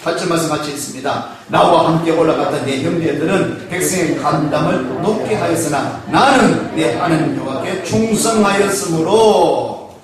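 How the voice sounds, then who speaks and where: Male pastor, high voice, call-and-response sermon, very reverberant church, distant mic. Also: crowd noise. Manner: call-and-response preaching, asking the congregation questions; slows to stress key phrases. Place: church sanctuary. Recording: echoey church hall, mic far off.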